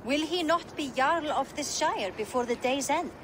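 A frank Viking woman in a dramatic game scene. Her voice is high, with faint ambient noise behind it. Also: expressive, normal speed. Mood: frank, concerned. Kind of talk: video game dramatic acting.

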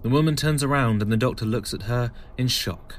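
A man's voice: medium pitch, brisk, faint music behind. A man reading scripted narration for an audio drama.

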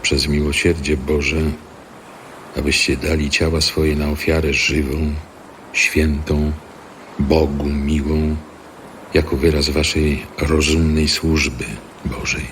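A man's voice: a bass voice, little pitch movement, takes it slowly. An actor playing an earnest male apostle exhorting fellow believers in a dramatic scripture reading. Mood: earnest exhortation. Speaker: actor in an audio Bible. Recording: clear background ambient noise.

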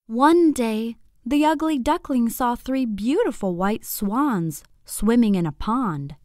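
A woman narrating a scripted bedtime story for young children in an expressive, deliberate, clearly phrased way. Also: fairly high pitch, dry recording, clean studio sound.

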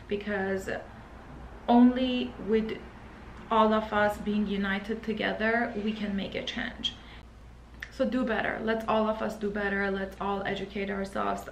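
Earnest woman in an echoey room. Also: medium pitch. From Iran. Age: 30s. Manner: earnest, hesitant, emotional. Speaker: lifestyle vlogger. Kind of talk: earnest personal address to camera. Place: indoors, living and dining room at home. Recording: roomy echo, faint background noise.

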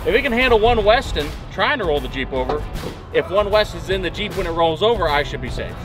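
A young man with a drawl vlogs from the seat of an open-top old Jeep, joking and swearing as he drives. His voice sits fairly high for a man. Loud music plays underneath the outdoor recording from a mounted camera. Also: quick pace. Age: young adult. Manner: excitable, joking, swears casually. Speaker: vlogger. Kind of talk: casual vlog narration while driving. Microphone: mounted action camera.

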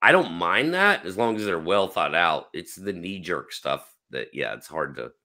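Hoarse man hosting a chatty video call. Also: low-pitched, normal speed. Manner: animated, rambling, lots of fillers. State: hoarse, losing his voice. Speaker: host. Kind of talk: casual interview chat. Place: home room. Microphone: webcam on a video call.

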